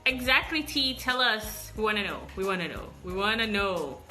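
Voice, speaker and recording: A woman's voice: mid-range voice, expressive, normal speed, sharp tone, faint music behind. A woman hosting a live podcast, upbeat, asking eager questions. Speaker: podcast host.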